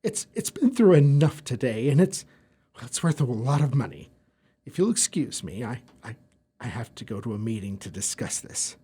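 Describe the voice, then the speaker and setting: Actor as husky, flustered male professor. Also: medium pitch, sing-song, moderate pace, mellow. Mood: anxious, hesitant. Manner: hesitant, stammering. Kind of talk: audio drama acting.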